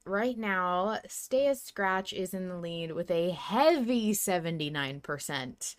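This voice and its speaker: A woman's voice: on the low side, animated, slow pace, no echo, clean studio sound. A woman hosting a podcast in casual conversation, talking in a chatty, playfully enthusiastic way. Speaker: podcast host.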